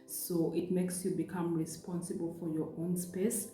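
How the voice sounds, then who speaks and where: A woman's voice: on the low side, flat delivery, moderate pace, warm tone. Chatty young woman from Uganda, echoey room. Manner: chatty, rambling, friendly. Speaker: vlogger. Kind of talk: casual vlog talk. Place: apartment room. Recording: echoey room, faint music.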